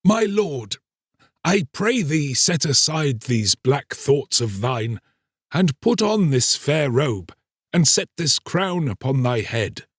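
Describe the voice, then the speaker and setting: A man's voice: a little high, very animated, slow, breathy edge, no echo, studio quality, pitched above the performer's own voice, exaggerated voice. A man voicing an anxious, deferential royal chamberlain in a storybook tale, pleading in courtly, archaic speech.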